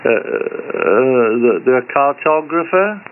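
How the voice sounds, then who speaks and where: A man's voice: mid-range voice, expressive, slow. An older man with a British accent chatting casually over ham radio, hesitant, wry and teasing. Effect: ham radio transmission. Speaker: amateur radio operator. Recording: tinny shortwave radio link with hiss.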